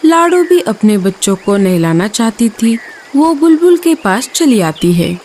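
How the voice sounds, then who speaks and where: A woman narrating a cartoon story in an expressive storytelling manner. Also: on the high side, unhurried, slight background noise.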